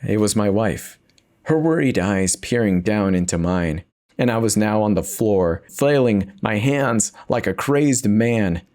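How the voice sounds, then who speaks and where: A man's voice: lowish voice, animated, unhurried, a little mellow. A man giving an intense, theatrical first-person reading of a horror story as its narrator.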